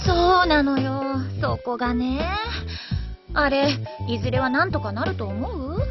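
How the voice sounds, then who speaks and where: A frank young big sister from an anime audio drama, exasperated and high in pitch. Her intonation is lively, and the slightly muffled recording has music underneath. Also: unhurried. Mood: flustered.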